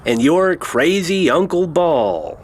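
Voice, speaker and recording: Man acting an earnest, slow-spoken young alien nephew. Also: on the high side, big pitch swings, exaggerated voice. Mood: earnest, reserved. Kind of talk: audio drama acting.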